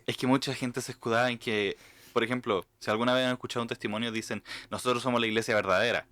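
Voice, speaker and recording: A man's voice: medium pitch, fairly even intonation, fast talker, fairly bright tone, dry recording. A young man whose Spanish carries an Argentine tinge, co-hosting a podcast and explaining his points earnestly in casual chat. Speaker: podcast co-host.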